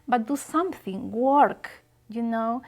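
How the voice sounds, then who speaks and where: A woman speaks emphatic, encouraging in an interview. Her voice sits slightly high. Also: animated, takes it slowly. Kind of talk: casual interview talk.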